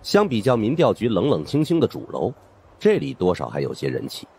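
A man's voice: on the low side, huge pitch swings, a little fast, mellow, slightly rough. A man narrates suspense fiction as a dramatized first-person audiobook storyteller.

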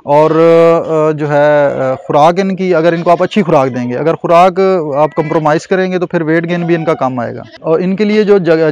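A man in his thirties with a Pakistani Urdu accent, calmly explaining goat breeding and care at an outdoor goat farm, with frequent fillers. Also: fairly high pitch. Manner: measured and informative, with frequent fillers. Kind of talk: explanatory on-camera interview. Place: outdoors at a covered goat shed.

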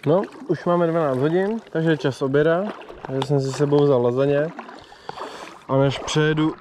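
A man's voice: mid-range voice, noisy background. A young Czech man, a fishing vlogger, gives laid-back, self-mocking commentary to himself while spin fishing from a belly boat on a lake, recorded on a body-worn action camera. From Czech Republic. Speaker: angler vlogger.

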